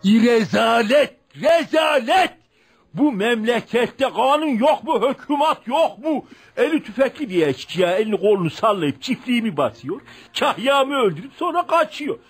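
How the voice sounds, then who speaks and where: An actor playing a blustering, tyrannical but fearful rural landlord in a Turkish radio play, with theatrical delivery. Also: male voice, very high voice, big pitch swings, normal speed, slightly rough, full character voice. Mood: outraged. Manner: theatrical, blustering, hesitant when cornered. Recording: faint music behind radio drama.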